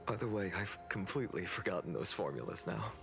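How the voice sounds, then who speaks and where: An actor playing a noble, gentle young lord in a video game, formal, measured and earnest. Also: male voice, sits low, animated, vocal fry. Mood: gentle, warm. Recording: music behind the voice.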